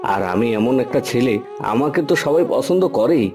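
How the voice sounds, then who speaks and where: A man's voice: mid-range voice, expressive, normal speed, over music, close to an everyday voice. An actor playing a vain, contemptuous young village man in a fairy-tale cartoon, boastful and disdainful. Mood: smug.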